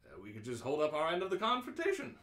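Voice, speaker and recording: A man's voice: fairly high pitch, huge pitch swings, quick pace, a bit bright, full character voice. A man playing a flustered, polite, officious demolition foreman in an amateur radio play, hesitant and deferential. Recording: roomy, echoing live reading.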